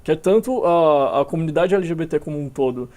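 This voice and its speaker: A young man from Minas Gerais, a musician being interviewed, talking in a relaxed way with many fillers and restarts. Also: a little high, brisk. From Minas Gerais, Brazil. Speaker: musician guest. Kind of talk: casual interview talk.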